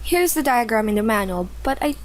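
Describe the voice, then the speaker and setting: A woman plays a middle-aged mother in a radio play. Her voice is high, her manner proper and earnest with a slightly flustered edge. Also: lively intonation, fairly quick, lightly acted. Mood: polite.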